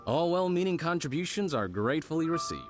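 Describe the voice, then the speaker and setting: An actor playing a friendly, patient, easygoing craftsman in a video game, encouraging, with thoughtful pauses. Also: on the high side, lively intonation, close to an everyday voice. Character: friendly, patient male festival lantern craftsman. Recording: music behind the voice.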